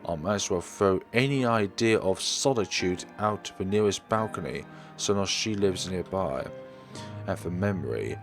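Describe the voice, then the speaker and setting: A man with a fairly low voice reads visual novel narration aloud with expression. He occasionally trips on words and corrects them as he goes. Music plays underneath his voice. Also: lively intonation, normal speed. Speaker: let's player reading a visual novel aloud. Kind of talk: expressive read-aloud of first-person story narration. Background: background music.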